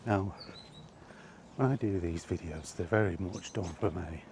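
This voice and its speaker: A man giving a casual, halting opinion monologue to a vlog audience, rambling along in short phrases while out walking. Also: sits low, a little mellow, slight background noise. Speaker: video blogger. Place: outdoors, walking.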